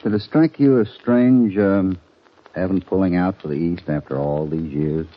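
A man's voice: deep voice, normal speed. An actor playing a dogged American cowboy lawman in an old radio western, with a folksy, casual way of asking questions. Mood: probing, mild. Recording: dull, muffled vintage radio recording.

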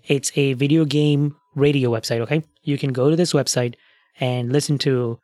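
Man, podcast host, relaxed explainer, medium pitch, dry close mic. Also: normal speed, dry recording. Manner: relaxed, explains with asides and fillers. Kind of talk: casual podcast chat.

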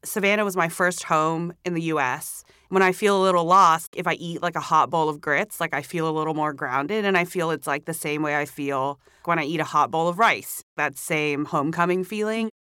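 A woman's voice: deep voice, normal speed, hardly any pauses, smooth, no room sound, studio quality. A young woman from Hong Kong, a podcast guest chatting casually with lots of "like" fillers. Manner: relaxed, chatty, many "like" fillers. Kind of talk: casual interview chat. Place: recording studio.

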